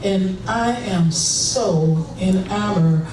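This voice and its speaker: A woman's voice: medium pitch, drawn-out words. Woman, American accent, audience member giving off-the-cuff remarks, noisy echoing room. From United States. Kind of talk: impromptu thanks from the floor. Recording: echoey room, distant mic, noisy.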